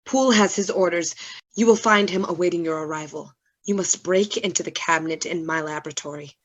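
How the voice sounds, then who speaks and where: A woman's voice: lowish voice, a little fast, lo-fi sound, natural voice. A woman playing a tormented, earnest scientist in a gothic radio play, pleading in formal, dramatic phrases. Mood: grave, pleading.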